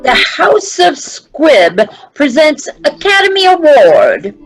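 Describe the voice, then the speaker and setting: A woman's voice: high voice, very animated, slow pace, faint music behind, heavily acted, poor audio. A woman acting as a formal, ceremonious announcer reading a scripted 1940s radio drama introduction.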